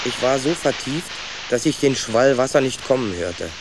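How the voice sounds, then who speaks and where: A man's voice: mid-range voice, lively intonation, normal speed, background noise, natural voice. A young man playing an adventurous, stubborn young rat who tells his own story in a children's radio play. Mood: absorbed storytelling. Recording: clear ambient effects behind.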